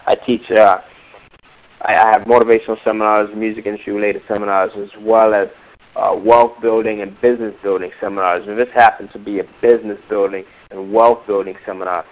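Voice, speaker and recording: A man's voice: fairly low pitch, flat delivery, brisk. A man with a cold, coaching in a teleseminar, emphatic and repeating words for stress. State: hoarse from a cold. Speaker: music business coach. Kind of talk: motivational teleseminar talk. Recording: dull lo-fi teleseminar call.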